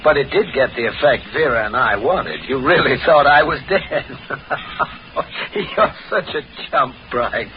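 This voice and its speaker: A man's voice: medium pitch, expressive, a little slow, lightly acted. Guy playing a smug taunting noir crook, sardonic, muffled old 1940s radio recording with a bit of noise. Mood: gloating. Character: gloating, mocking male swindler, smug and cruel. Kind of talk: 1940s radio drama acting.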